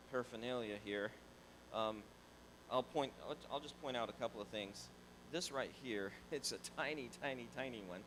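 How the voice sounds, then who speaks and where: A man's voice: medium pitch, background noise. A middle-aged man from Florida, a pastor telling a personal story to his church in a conversational, restarting way. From Tallahassee, Florida. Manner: conversational, repeats words and restarts, animated. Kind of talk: church talk, casual storytelling. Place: large church sanctuary. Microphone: handheld mic.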